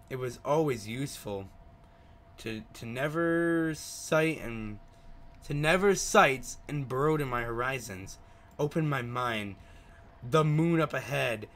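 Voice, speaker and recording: A man's voice: mid-range voice, a bit bright. A man hosting a gaming video, reading a visual novel aloud with casual asides, often stumbling over words and retrying pronunciations.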